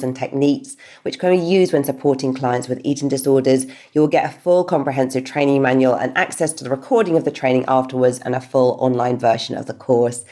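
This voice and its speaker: A woman hosting a therapy podcast, reading a calm scripted introduction and then chatting with a guest. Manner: measured, calm reading, livelier and higher in conversation. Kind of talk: scripted intro, then casual interview chat.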